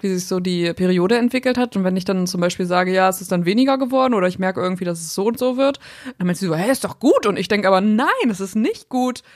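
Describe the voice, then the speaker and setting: A woman's voice: medium pitch, no echo, clean studio sound. A woman, chatting casually and expressively as a co-host. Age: 30s. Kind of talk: casual chat.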